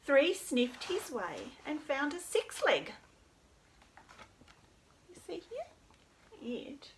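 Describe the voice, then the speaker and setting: Slow, high-voiced woman hosting children's storytime. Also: wildly swooping pitch, slight background noise. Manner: sing-song, encouraging, addressing children with simple questions. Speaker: children's storytime host at a library. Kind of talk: children's storytime: read-aloud and presenting to young children.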